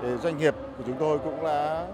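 A man's voice: on the high side, fairly even intonation, brisk. A man in his fifties, a company executive, giving a formal interview at an exhibition booth, repeating himself at times. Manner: formal, with repetitions. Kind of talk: on-camera interview. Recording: noisy hall background.

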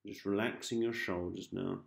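A man guiding a sleep hypnosis session in a calm, gentle manner, giving repetitive soothing instructions with pauses. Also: sits low, creaky, reverberant. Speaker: hypnosis host, meditation guide.

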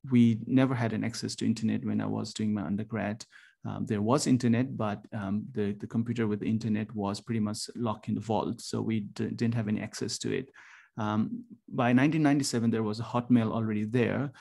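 A man from Nepal in his forties, a university lecturer giving a presentation over a video call, talking haltingly with many ums and restarts. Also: lowish voice, vocal fry, mellow. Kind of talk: webinar presentation.

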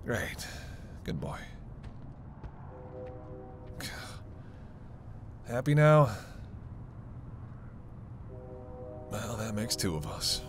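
A man's voice: lowish voice, big pitch swings, measured pace, over music. A man playing a weary, flirtatious vampire with teasing, sarcastic banter in an intimate roleplay audio drama. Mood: irritated, teasing. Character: sardonic, flirtatious male vampire, weary and hungry. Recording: noisy, ambient sound effects behind voice.

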